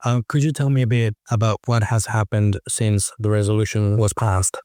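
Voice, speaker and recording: A man's voice: on the low side, steady tone, moderate pace, a little mellow, clean tone, no echo, studio quality. A man moderating a discussion with prepared questions as an interviewer.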